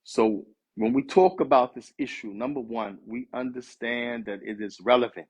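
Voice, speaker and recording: Husky-voiced man preaching casually. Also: medium pitch, normal speed, lo-fi sound. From US East Coast. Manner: conversational, street-inflected preaching with Arabic phrases. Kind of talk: sermon.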